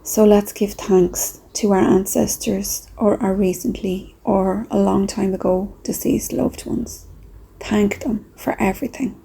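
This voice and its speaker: Female meditation guide: unhurried, husky and breathy, soft reflective delivery with pauses. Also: mid-range voice, steady tone.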